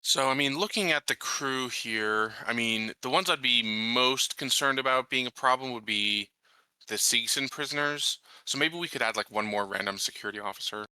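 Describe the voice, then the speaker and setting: A man with a strikingly bright, clear voice chats casually as a player in a tabletop game. He sits slightly low in pitch and talks through plans. The sound is very dry. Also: normal speed, no room sound, rough recording. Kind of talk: casual in-game planning talk.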